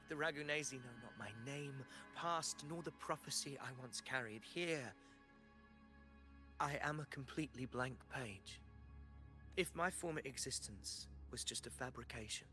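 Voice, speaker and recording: Bright-voiced, solemn warrior hero. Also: male voice, mid-range voice. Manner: formal, solemn, theatrical phrasing. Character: earnest brooding male warrior hero questioning his own existence. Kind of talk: dramatic video game voice acting.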